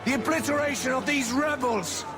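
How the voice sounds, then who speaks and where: An actor playing a grandiose middle-aged tyrant addressing his citizens in pompous, theatrical oratory full of dramatic pauses. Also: very high voice, noisy background. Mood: exalted.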